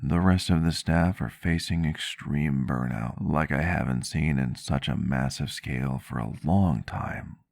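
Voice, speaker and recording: Man playing very deep, soft-spoken gentle vampire doctor. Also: measured pace, gravelly, few pauses, no echo, full character voice. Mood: tender, reassuring. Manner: soft-spoken, formal wording, reassuring. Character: gentle, courteous male vampire doctor, caring partner. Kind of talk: roleplay ASMR, soft intimate acting. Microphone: close microphone, dry sound.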